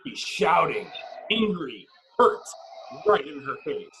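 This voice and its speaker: A man narrates an action script dramatically. His voice sits slightly high, and he breaks the directions into punchy, clipped phrases with pauses. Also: big pitch swings, pushed and bright, slight background noise, low-quality recording. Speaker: narrator reading stage directions.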